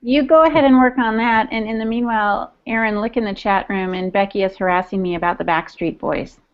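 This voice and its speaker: A woman's voice: medium pitch, fairly even intonation, fairly quick. A middle-aged American woman chatting casually as a podcast co-host over a video call. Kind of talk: casual chat. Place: home room. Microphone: earphone headset on video call. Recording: dull, lo-fi video call audio.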